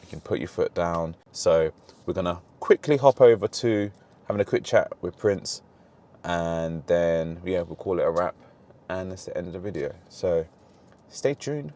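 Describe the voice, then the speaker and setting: A man hosting a car review vlog, talking casually from behind the wheel in a rambling, self-correcting way, his voice a little hoarse. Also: low voice, animated, moderate pace, darkish tone. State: slightly hoarse, losing voice. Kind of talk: casual driving commentary. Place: inside a moving car. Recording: car road noise behind. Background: engine and road noise.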